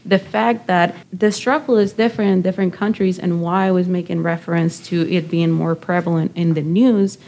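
A woman from Nicaragua hosts a panel conversation with a mellow, rather low voice. She talks thoughtfully and circles her points. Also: fairly even intonation, normal speed, hardly any pauses. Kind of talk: casual panel talk.

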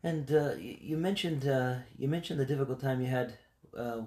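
An interviewer speaks calmly and asks open questions, steering a personal conversation. The voice is recorded in an echoey room. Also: mid-range voice, normal speed. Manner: gentle, prompting questions.

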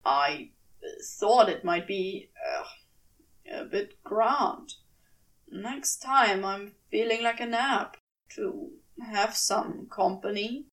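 A bashful male demon from a storybook, put on by a woman, pitched well below the narration and slightly off the mic. He is gruff but soft-hearted, stammering through short, awkward phrases at an unhurried pace. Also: recorded from a distance, low-quality recording. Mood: sheepish, awkward. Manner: halting, stammering, clipped casual wording.

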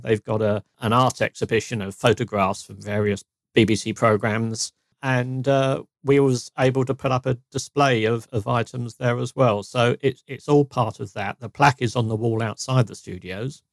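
Gravelly-voiced English podcast co-host. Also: male voice, medium pitch, dry recording. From England. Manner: chatty, jokey, with fillers. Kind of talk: casual chat.

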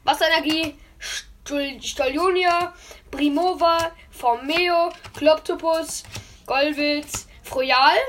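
A young woman gushing over trading cards with a friend, exclaiming loudly and calling out to viewers. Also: high-pitched, slow pace, slight background noise. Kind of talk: excited casual chat.

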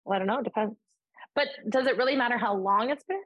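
Husky, soft-spoken woman podcast co-host. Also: on the high side, no room sound, lo-fi sound. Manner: soft-spoken, hesitant, self-described slow-moving. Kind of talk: casual chat.

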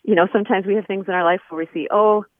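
A woman speaking as an interview guest over a phone, conversational and reflective, pausing as she gathers her thoughts. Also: mid-range voice, steady tone, no echo. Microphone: telephone call. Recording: narrow, tinny phone line.

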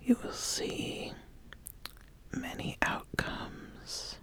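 A woman as a slow, whispering, ambitious witch. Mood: intrigued, solemn. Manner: slow, deliberate, hushed, with lingering pauses and repeated phrases. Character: ambitious witch, intrigued, sizing up a would-be apprentice. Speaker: ASMR host playing a roleplay character. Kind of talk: whispered ASMR fantasy roleplay.

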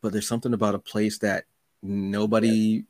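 A man with a low voice hosts a casual interview podcast. He talks effusively and rambles, doubling back on words as he goes. The sound is dry and close, with very little room in it. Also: level tone, normal speed, darkish tone, no room sound.

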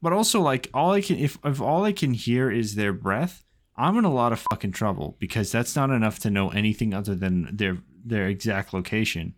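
A man's voice: fairly low pitch, animated, a little fast. A man co-hosting a podcast, rambling in casual chat and swearing freely. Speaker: podcast co-host.

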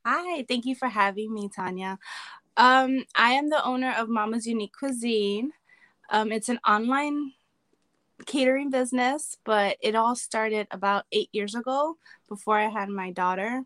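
Bright higher-pitched woman from the Bronx. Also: normal speed, no room sound. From the Bronx, New York. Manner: mixes Spanish phrases into her English. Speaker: podcast guest, catering business owner. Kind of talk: casual interview chat.

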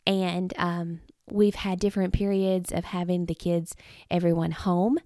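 A woman, hosting a devotional podcast in an earnest, rambling way, her voice a little rough from illness. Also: lowish voice, level tone, measured pace, mellow, no echo, clean studio sound. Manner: earnest, rambling with many fillers and restarts. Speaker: podcast host. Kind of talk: casual devotional talk. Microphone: close podcast mic.

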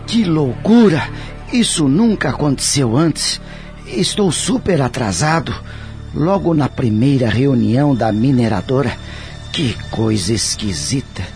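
An anxious, troubled businessman in a radio soap. He speaks slowly and haltingly, with wide swings of pitch. His manner is flustered and fretful, over faint background music. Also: lively intonation, darkish tone. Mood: groggy, flustered. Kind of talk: radio soap opera acting.

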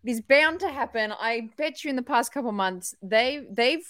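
A woman from Australia, a call-in guest on a podcast, chatting excitedly with lots of fillers. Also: a little high, moderate pace, no room sound, clean studio sound. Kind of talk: casual podcast chat. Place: home.

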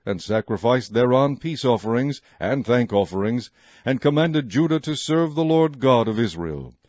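A man reading scripture aloud in a measured, formal, solemn narration style. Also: medium pitch, a little mellow, dry recording, muffled recording. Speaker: Bible reader.

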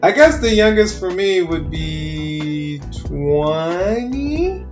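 A man's voice: high voice, big pitch swings, very slow, reverberant, faint music behind. Profane, laid-back man in his twenties. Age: 20s. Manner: loose, profane, laughs often. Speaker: podcast co-host. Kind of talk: casual chat.

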